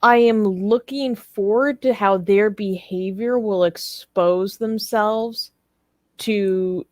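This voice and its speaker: A woman with an average-pitched voice chats as co-host of a live discussion show. She is informal, opinionated and emphatic, and the sound is very dry with little room in it. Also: takes it slowly, no room sound. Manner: animated, informal, emphatic, laughs readily.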